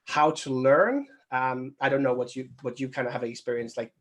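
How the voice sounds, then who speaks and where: A man's voice: medium pitch, fairly even intonation, normal speed. A man answering questions casually as a recruitment trainer, thinking aloud and rambling as he explains.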